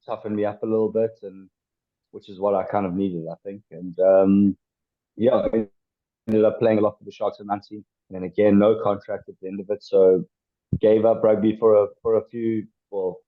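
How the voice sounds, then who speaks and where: A man in his twenties from South Africa, a former rugby player chatting as a podcast guest, with lots of pauses and restarts. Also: fairly low pitch, flat delivery. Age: 20s. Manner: hesitant, many ums and restarts. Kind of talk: casual interview chat. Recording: dull lo-fi sound.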